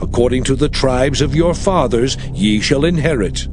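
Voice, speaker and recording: An actor playing a solemn, commanding God in a dramatized scripture reading, declaiming archaic English in a measured way. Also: male voice, mid-range voice, close to an everyday voice. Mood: stern, warning. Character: God, a solemn commanding male deity giving laws. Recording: loud music bed, reverberant in places.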